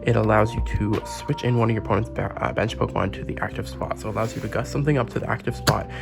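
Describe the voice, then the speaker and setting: A man who is sick with a sore throat, his voice gravelly and rough. He talks in a casual, chatty way and gushes over the packaging as he walks through the cards. Music plays clearly underneath him. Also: fairly low pitch, very fast. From Canada. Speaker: trading card game YouTuber. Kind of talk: casual unboxing and deck review.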